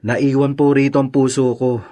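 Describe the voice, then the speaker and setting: Man acting as respectful son in his twenties, soft-hearted, medium-pitched, Filipino radio drama. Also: level tone, normal speed, lightly acted. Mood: wistful, hesitant. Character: respectful, lovelorn 28-year-old son home from abroad.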